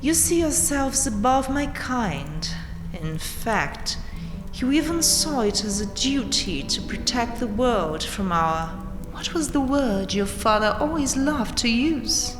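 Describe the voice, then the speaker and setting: A woman playing a proud, vengeful vampire queen in a dramatic audio roleplay, speaking with haughty menace and mocking pauses. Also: on the high side, sing-song. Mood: contemptuous. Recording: roomy, long hall-like reverb. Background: dark castle ambience music.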